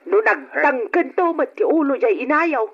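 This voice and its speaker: A woman playing a caring old grandmother in a radio drama, fretting in a measured, motherly way. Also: high voice, animated, gravelly. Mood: concerned. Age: older. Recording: dull, noisy old radio recording.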